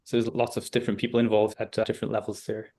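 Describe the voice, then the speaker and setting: Man speaking in a flat, even tone. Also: fairly low pitch, racing. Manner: thoughtful, searching for words. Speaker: guest speaker. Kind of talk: webinar Q&A explanation. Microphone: video call.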